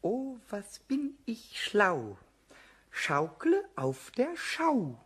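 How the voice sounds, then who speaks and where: A man playing a vain, cheeky, childlike little kobold with a squeaky, raspy voice in a German children's audio play. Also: wildly swooping pitch, drawn-out words, heavily acted. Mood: gleefully boastful. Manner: boastful, sing-song reciting, exclaiming.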